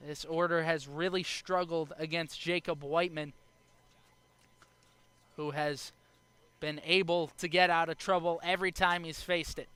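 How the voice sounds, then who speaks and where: A young adult man doing live baseball play-by-play as the announcer, speaking in short clipped phrases with measured pauses. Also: high voice, bright, forceful tone, clear, resonant tone. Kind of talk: live sports play-by-play commentary.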